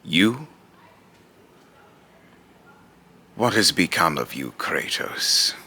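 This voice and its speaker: A man's voice: sits low, very animated, bright, forceful tone, a little hiss or hum, exaggerated voice. A man voicing a vengeful, grave god who judges mortals, speaking in solemn, accusing, taunting questions in a dramatic monologue. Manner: slow, solemn, deliberate, taunting rhetorical questioning. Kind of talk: amateur voice-acting monologue with retakes.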